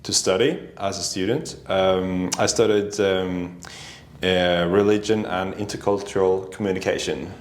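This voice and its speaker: A middle-aged man from Norway, a native Norwegian speaker, answering interview questions thoughtfully with many pauses. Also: deep voice, fairly even intonation, slight background noise. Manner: thoughtful, hesitant, many ums. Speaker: guest, company founder. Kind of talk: casual interview. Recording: echoey room.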